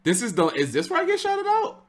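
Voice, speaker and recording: A man's voice: very high voice, huge pitch swings, a little fast. A man giving casual live reaction commentary as a streamer, exclamatory and often repeating his phrases.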